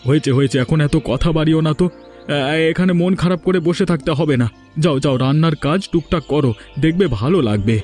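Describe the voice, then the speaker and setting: A dutiful cartoon village son, played by a man, full of reassurance. His voice is fairly high for a man, and music plays softly behind him. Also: fast, close to an everyday voice. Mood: reassuring. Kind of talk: Bengali cartoon dialogue.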